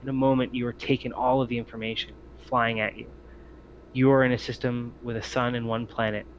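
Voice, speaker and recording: A man's voice: medium pitch, little pitch movement, fairly quick. A man narrating a tabletop roleplaying game as its game master. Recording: muffled lo-fi mic with clear noise.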